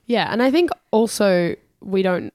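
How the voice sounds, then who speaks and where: A young woman co-hosting a casual pop culture podcast, joking and relaxed, with a voice worn from losing it. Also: medium pitch, measured pace, dry recording, clean studio sound. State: losing her voice. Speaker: podcast co-host. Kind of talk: casual chat. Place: home studio at night.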